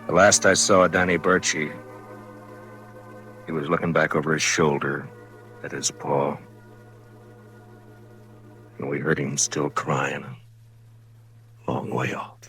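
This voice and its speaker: A very low-voiced, grave western lawman, narrates slowly over music. It is a vintage radio drama recording. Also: flat delivery, sharp tone, exaggerated voice. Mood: somber, subdued.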